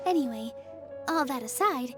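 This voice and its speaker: A woman voicing a young dancer in a video game, sweet and modest, with a gentle, earnest, polite manner. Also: high voice. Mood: modest, bright. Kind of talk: animated video game voice acting.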